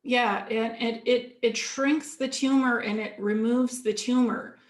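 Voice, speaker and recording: A woman's voice: on the high side, steady tone, a little slow, roomy sound. A woman from Ukraine, a guest in an interview, explaining at length in an earnest way, often restarting her sentences. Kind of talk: casual interview talk.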